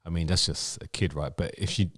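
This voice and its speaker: A middle-aged man chatting on a podcast, giving advice in a lively, teasing way. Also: sits low, fairly quick. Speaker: podcast co-host. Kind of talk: casual chat, giving advice.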